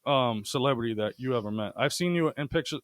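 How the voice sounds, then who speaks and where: A man's voice: mid-range voice, fast, dry recording. A man hosting a casual comedy podcast, bantering playfully and swearing freely. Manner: playful, profane. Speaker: podcast host.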